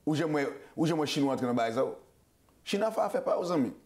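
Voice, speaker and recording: A man's voice: a little high, lively intonation, fast talker, a little mellow. A man with a Haitian accent hosting a casual talk show. He stammers and restarts a lot and mixes Creole and English words into his French. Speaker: show host.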